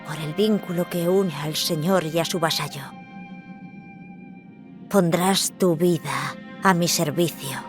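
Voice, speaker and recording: A woman plays a young noble boy in a dramatic game dub, with a Castilian Spanish accent. The voice is somewhat low, composed and formally measured, with soft music beneath. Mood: calm, authoritative. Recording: music under the voice.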